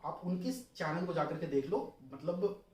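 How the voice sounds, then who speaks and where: A man's voice: fairly high pitch, normal speed, darkish tone. A young man giving an informal exam strategy lesson, instructive and colloquial, repeating points for emphasis. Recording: roomy, distant mic, faint noise.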